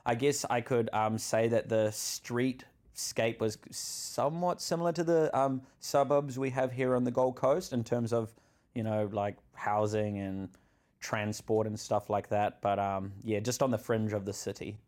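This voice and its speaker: A man's voice: mid-range voice, fairly even intonation, measured pace, darkish tone. A man, a podcast guest telling personal stories in a rambling way, laughing as he goes. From New Zealand. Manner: rambling, laughs through his stories, many fillers. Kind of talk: candid personal storytelling.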